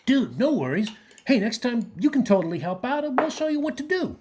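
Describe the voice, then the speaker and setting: An actor playing an easygoing slacker handyman and musician in a radio play, with laid-back, slangy speech and a husky voice. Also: extremely high pitch, sing-song, a little fast, a little mellow, slight background noise.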